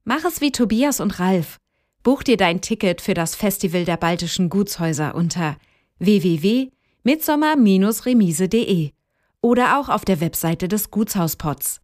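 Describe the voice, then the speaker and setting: A woman's voice: mid-range voice, expressive, normal speed, a little mellow. A woman reading a scripted, upbeat promotional spot for a festival. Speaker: ad announcer. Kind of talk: scripted ad read.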